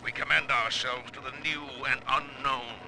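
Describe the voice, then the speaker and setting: An actor playing a zealous cult preacher, delivering a grandiose, incantatory sermon for a video game. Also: male voice, mid-range voice, fairly even intonation, moderate pace, vocal fry, sharp tone, slight background noise, low-quality recording. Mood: fervent prayer. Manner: incantatory, grandiose, rising to cries. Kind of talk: theatrical sermon.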